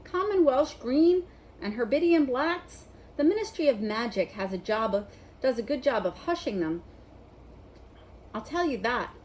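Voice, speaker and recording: A woman voicing a blunt, excitable know-it-all schoolboy in a storybook, speaking emphatically. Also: high voice, unhurried, a little hiss or hum, rough recording. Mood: emphatic.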